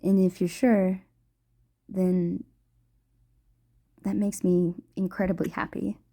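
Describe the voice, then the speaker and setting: A woman playing a gentle, playful ghost girlfriend in an intimate romantic audio roleplay, speaking softly and formally with halting hesitations. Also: mid-range voice, level tone. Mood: hushed, hesitant. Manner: soft, hesitant, formal wording with halting stammers. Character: gentle playful ghost girlfriend, shy, tender, old-fashioned speech.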